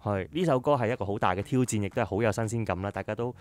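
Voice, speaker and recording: A man's voice: fairly low pitch, rapid-fire, no echo. A man in his thirties with a Hong Kong Cantonese accent, hosting a casual radio interview in a studio. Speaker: radio host. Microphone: studio microphone, headset on, face mask worn.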